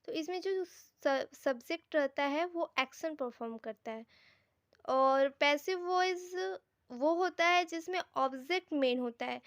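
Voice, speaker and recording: A woman's voice: high-pitched, unhurried, a bit bright, no echo, clean studio sound. A woman with an Indian accent giving an informal grammar lesson as a teacher, explaining things directly to her students. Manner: explanatory, addresses viewers directly, mixes Hindi and English.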